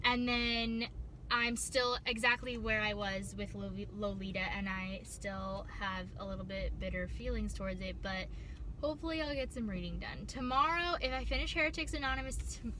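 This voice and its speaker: A young woman in her twenties chatting casually as a book vlogger, rambling with many ums and likes and sounding a little nasal from a cold. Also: mid-range voice. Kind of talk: casual vlog chat. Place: car. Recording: clear car and road noise behind.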